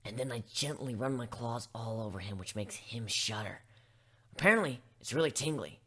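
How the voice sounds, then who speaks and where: A man voicing a besotted female griffin in a story reading, husky, dreamy and gushing. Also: mid-range voice, lively intonation, moderate pace, a bit bright, voice pushed down. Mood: dreamy, smitten. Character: besotted female griffin telling intimate stories.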